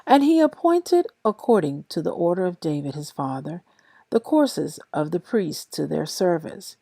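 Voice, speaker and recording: A woman who sounds a little tired, reading scripture aloud in measured, deliberate short phrases as the host of a daily reading. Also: on the low side, big pitch swings, gravelly, mellow, dry recording. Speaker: Bible reader and host of a daily reading series. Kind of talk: scripture read aloud with brief casual introduction.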